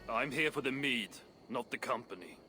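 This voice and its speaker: A grumpy proud dwarf smith from a game, sharp hard-edged voice, noisy ambience. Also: male voice, medium pitch, lively intonation, moderate pace. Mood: irritated. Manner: blunt, curmudgeonly. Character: gruff, grudging male dwarf smith, proud and blunt. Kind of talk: video game cutscene acting. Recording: clear background ambient noise.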